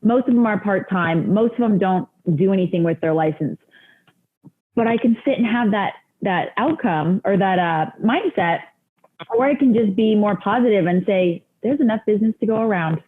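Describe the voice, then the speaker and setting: A woman's voice: mid-range voice, normal speed. Podcast host, woman, encouraging and reflective, tinny phone-like sound. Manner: encouraging, reflective, talks in long run-on thoughts. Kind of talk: casual podcast chat.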